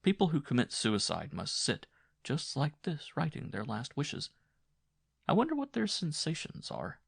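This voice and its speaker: A man's voice: on the high side, huge pitch swings, a little slow, creaky, husky, dry recording, clean studio sound, voice pushed up, heavily acted. A man playing a brooding, morbidly fascinated narrator in a horror audiobook monologue, intense and musing. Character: brooding man fascinated by his own suicide. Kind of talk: dramatic first-person horror monologue.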